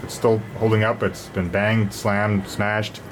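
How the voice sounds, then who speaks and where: A man's voice: fairly low pitch, flat delivery, slow pace. A man giving a casual, unscripted product review, rambling and repeating words as he goes. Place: garage during a thunderstorm. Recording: noisy garage with storm ambience.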